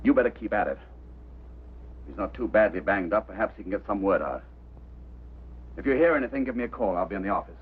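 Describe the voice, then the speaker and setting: An actor playing a stern, impatient boss of a flying operation in a 1950s drama, curt and sarcastic, on a noisy vintage soundtrack. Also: male voice, lowish voice, animated, fast talker, pauses often, breathy edge, phone-quality sound. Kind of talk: 1950s television drama acting.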